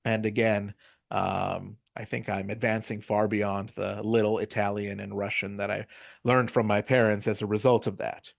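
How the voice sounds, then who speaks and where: Middle-aged california guy giving a chatty lecture, gravelly voice, dry close sound. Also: lowish voice, little pitch movement, normal speed, dry recording. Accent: American, Californian. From United States. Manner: casual, chatty, frequent "you know" and "right?" tag questions. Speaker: university lecturer. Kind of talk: conversational lecture.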